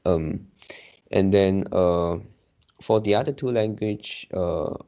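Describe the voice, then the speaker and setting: Malaysian english guy, really low voice, slow and hesitant, bit muffled. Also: warm tone. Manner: hesitant, pauses to think. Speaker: discussion participant. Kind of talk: casual discussion.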